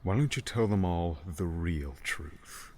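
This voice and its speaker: Menacing male betrayer, acted by a man: lowish pitch, haunting edge, mocking and accusatory storybook villainy. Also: animated, unhurried, a little mellow. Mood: bitter taunting. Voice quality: haunting, piercing. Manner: taunting, accusatory. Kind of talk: audiobook character dialogue.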